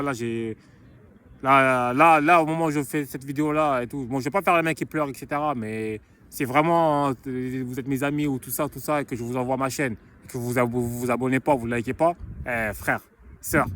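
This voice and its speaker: A man's voice: medium pitch, fairly bright tone. A young man talking casually in a vlog, slangy and rambling, restarting and repeating phrases. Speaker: YouTuber promoting his book.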